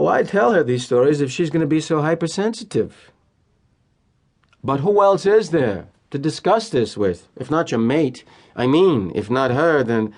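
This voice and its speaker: An actor with an American accent playing a cynical, polished middle-aged corporate executive in an audio drama monologue, wry and deliberate with emphatic pauses. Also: male voice, on the high side, gravelly, a little mellow, exaggerated voice. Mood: defensive, uneasy. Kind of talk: theatrical first-person dramatic monologue.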